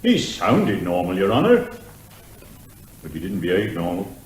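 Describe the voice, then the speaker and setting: In an echoey room, a male actor plays a police constable in a courtroom comedy. His fairly low voice is plain and deferential, a little flustered as he corrects himself. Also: wildly swooping pitch, unhurried, exaggerated voice. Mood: flustered, earnest. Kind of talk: courtroom radio comedy drama. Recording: echoing room.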